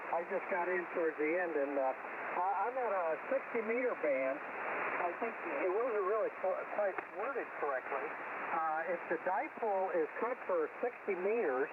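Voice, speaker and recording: A man's voice: sits high, expressive, normal speed. A male amateur radio operator explaining things matter-of-factly in casual technical chat over shortwave radio. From lives near Binghamton, New York. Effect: shortwave single-sideband radio. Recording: tinny shortwave radio, very loud static.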